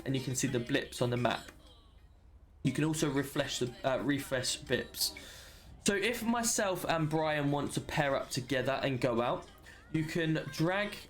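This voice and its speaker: Male tutorial presenter, medium pitch, casual and practical delivery, explaining a game feature plainly. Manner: informal, matter-of-fact explaining. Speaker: roleplay server member giving a tutorial. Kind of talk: casual screen walkthrough of a game system.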